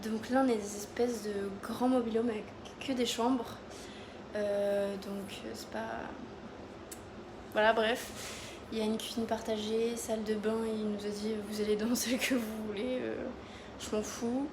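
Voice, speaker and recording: Young woman, from France, casual travel vlog, rambling fillers, inside a car, loud road noise. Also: fairly high pitch, flat delivery, unhurried. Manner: chatty, rambling with many fillers. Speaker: vlogger.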